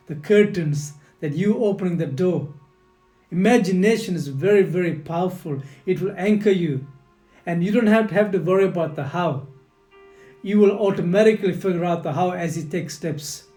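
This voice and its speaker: Earnest man coaching, echoey room. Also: sits high. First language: not English. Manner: earnest, repetitive, addresses the listener directly. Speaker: leadership coach. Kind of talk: motivational teaching talk. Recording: echoey room with soft guitar music.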